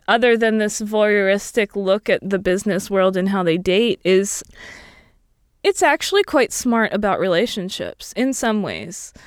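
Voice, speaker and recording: Clear-voiced woman chatting, dry studio sound. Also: mid-range voice, dry recording. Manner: thoughtful, informal, hedging with "you know" and "like". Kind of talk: conversational commentary in an interview.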